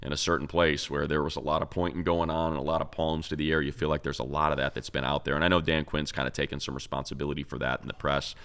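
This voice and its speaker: An American man with an exceptionally deep voice hosts a sports talk show. His tone is forceful and cleanly resonant. He breaks down the game in a casual, emphatic, conversational style. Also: flat delivery, quick pace, hardly any pauses, clean tone.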